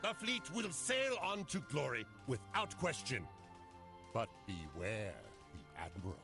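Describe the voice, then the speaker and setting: A man's voice: mid-range voice, big pitch swings, a little slow, over music. A man playing a blunt, sardonic seasoned sailor in a video game cutscene. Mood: wry. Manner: blunt, wry, colourful. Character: gruff, salty old-hand sailor, blunt and sardonic.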